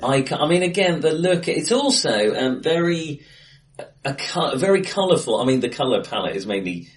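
A man's voice: fairly high pitch, very animated, normal speed. Man chatting, distant from the mic. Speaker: podcast host. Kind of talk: casual film discussion. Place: untreated, acoustically bright room, face to face. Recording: distant mic in bright echoey room.

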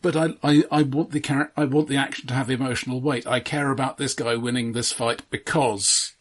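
Male podcast co-host, mid-pitched and husky, wry and drily sarcastic in casual critical talk. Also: fairly even intonation, fairly quick. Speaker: film discussion podcast co-host.

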